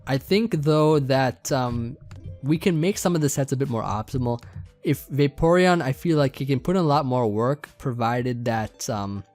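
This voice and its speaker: A young man casually talking through a team build, rambling with many fillers, his voice getting a bit hoarse. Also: medium pitch, moderate pace, faint music behind. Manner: relaxed, rambling, many fillers and restarts, light laughs. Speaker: competitive Pokemon team builder. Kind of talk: casual gaming commentary over team building.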